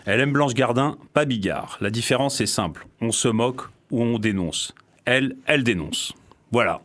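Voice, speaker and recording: A man of medium pitch co-hosts a radio show. He reads out a written portrait with wry asides and teases the others. He often laughs at his own lines. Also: moderate pace, fairly bright tone. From Paris region, France. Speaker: radio co-host. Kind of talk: reading a written portrait, then banter.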